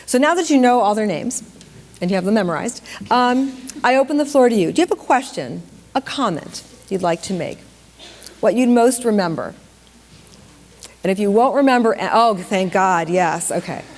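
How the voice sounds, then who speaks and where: A woman's voice: medium pitch, expressive, normal speed, a little mellow. A woman from Detroit leading a question session with students, chatty, with frequent fillers and restarts. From Detroit, Michigan. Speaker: dance company director leading a school Q&A. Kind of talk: casual public Q&A moderation. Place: school auditorium with student audience. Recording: hall reverb, audience noise.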